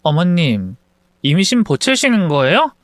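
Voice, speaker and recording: A man's voice: on the high side, sing-song, measured pace, dry recording, clean studio sound. A man voicing a newly married young woman who speaks politely and apologetically.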